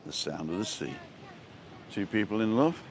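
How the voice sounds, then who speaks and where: Deep-voiced British man chatting outdoors. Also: lively intonation, normal speed. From born in England, lived in Wales and abroad. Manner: rambling, jokey. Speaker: travel vlogger and livestream host. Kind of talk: casual live walking-tour chat, answering viewer comments. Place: outdoors, walking along a seaside promenade. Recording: noisy outdoor street and seafront ambience.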